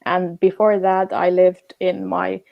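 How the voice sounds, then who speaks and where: A woman's voice: on the low side, monotone, takes it slowly, creaky, muffled recording. A young woman, chatting casually as an interview guest, with many fillers. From Finland. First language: Finnish.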